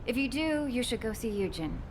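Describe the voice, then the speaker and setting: A woman acting as a caring, protective scientist in a video game, earnest and emotionally expressive. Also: on the high side, a little fast, noisy background. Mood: worried, clinical.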